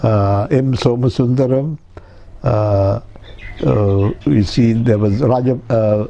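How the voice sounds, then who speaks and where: A man's voice: medium pitch, slow, creaky, warm tone, muffled recording, a little hiss or hum. An older man from Sri Lanka, whose home language was Tamil, recalling his career in a life-story interview with many hesitations. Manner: reminiscing with many hesitations and "you see".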